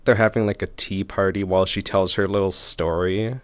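A man's voice: low-pitched, fairly even intonation, normal speed, clean tone, no echo. A man giving casual reaction commentary, musing aloud in a conversational way. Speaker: reaction video host. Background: faint music from the trailer.

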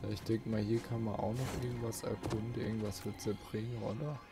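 A man's voice: lowish voice, little pitch movement, brisk. Man doing casual game commentary over music. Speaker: let's play host. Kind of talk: casual gameplay commentary, thinking aloud.

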